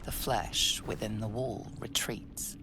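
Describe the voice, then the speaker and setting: A woman narrating a dark fantasy video game as an ominous, composed storyteller, measured and suspenseful. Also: steady tone, background noise. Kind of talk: scripted second-person fantasy narration. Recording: loud rumbling ambience behind narration.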